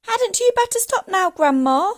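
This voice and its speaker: High, excitable young boy, voiced by a woman. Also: moderate pace. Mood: worried protest. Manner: urgent exclamations, calling out. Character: excitable young boy alarmed by his grandmother.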